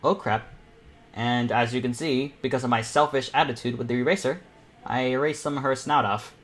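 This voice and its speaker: A young man hosting a live online art panel, chatting casually and excitably to viewers as he paints. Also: medium pitch, normal speed, faint music behind. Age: teens or young adult. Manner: informal, excitable, giggly.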